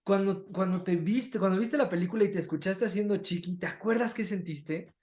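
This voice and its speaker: A man's voice: high-pitched, brisk, darkish tone. A Mexican man hosting a casual interview, asking questions in an engaged, conversational manner. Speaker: interviewer, host. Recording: roomy, echoing sound.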